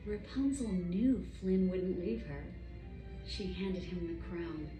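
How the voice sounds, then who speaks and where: Woman reading a bedtime story, slow and expressive. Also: lowish voice. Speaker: storybook narrator. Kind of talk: scripted bedtime story narration. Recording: roomy distant pickup with music. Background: music.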